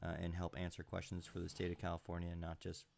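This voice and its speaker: A congested man with a deep, gravelly voice hosts a loose live stream. He rambles through his chat with plenty of fillers and little chuckles. He speaks into a computer mic with the gain turned up. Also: completely flat delivery, fast talker, a little mellow, slight background noise. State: sounds congested. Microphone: home computer mic with high gain.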